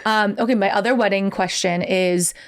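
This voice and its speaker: A woman hosting a podcast, chatting casually, bubbly and encouraging, quick to laugh. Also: medium pitch, steady tone. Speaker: podcast host.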